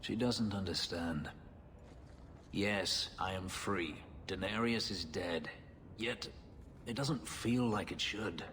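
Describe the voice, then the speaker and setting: Game role: bitter ex-slave elf warrior, very low solemn voice, reverberant hall sound. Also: male voice, fairly bright tone. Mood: brooding. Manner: brooding, solemn, measured. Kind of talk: dramatic video game acting. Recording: long hall reverb, background noise.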